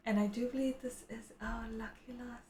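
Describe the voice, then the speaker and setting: An excitable, flirtatious woman reacting live to game trailers, gushing, gasping and echoing phrases she hears. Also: on the high side, moderate pace. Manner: gasps and exclaims, echoes heard phrases. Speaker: gaming reaction host.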